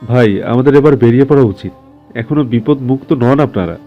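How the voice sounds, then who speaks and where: A man's voice: mid-range voice, brisk, highs cut off, soft background music, natural voice. A man playing a loyal, anxious comrade in an audio story, speaking earnestly and urgently. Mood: concerned. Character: loyal, anxious younger male comrade in a rescue. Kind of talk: dramatized audio story acting.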